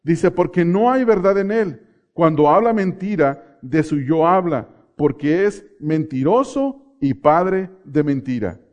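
A middle-aged man from Mexico preaching a sermon, asking the congregation questions, answering them himself and slowing down to stress key phrases. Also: on the high side, animated, darkish tone, dull audio.

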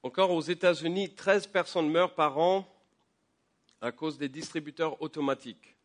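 A man preaching a sermon while he has a head cold. He talks conversationally, with many fillers and repeated words, and his voice climbs higher and louder as he builds to a point. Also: fairly even intonation, normal speed. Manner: rises in pitch and volume when building to a point. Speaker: pastor. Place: church.

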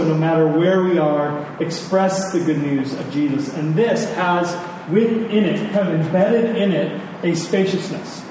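Male preacher, earnest sermon delivery with repeated words for emphasis; very echoey room, clear background noise. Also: sits high, a little mellow. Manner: earnest, emphatic with repetition for stress. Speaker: pastor preaching to his congregation. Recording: echoey hall, distant mic, noisy background.

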